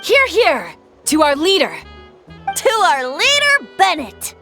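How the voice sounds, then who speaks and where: A woman's voice: very high voice, huge pitch swings, slow pace, bright, forceful tone, faint music behind, exaggerated voice. A woman voicing a whimsical, playful bard in an anime game, with a theatrical, lilting delivery. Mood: celebratory. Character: whimsical, playful bard, light-hearted, slyly modest storyteller. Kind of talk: scripted anime game voice acting.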